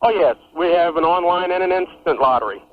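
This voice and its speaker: Male radio caller, slightly high voice, matter-of-fact explainer, dull broadcast tape sound. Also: expressive, a little fast, a little hiss or hum. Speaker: caller to a radio show who works for a lottery. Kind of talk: explanatory phone-in conversation.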